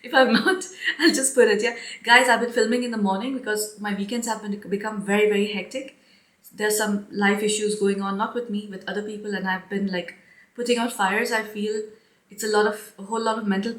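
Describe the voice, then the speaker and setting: A woman's voice: mid-range voice, a bit bright. Chatty woman in an echoey room. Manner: chatty, rambling, laughs often. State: slightly hoarse, stuffy nose. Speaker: perfume reviewer. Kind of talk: casual chat to camera.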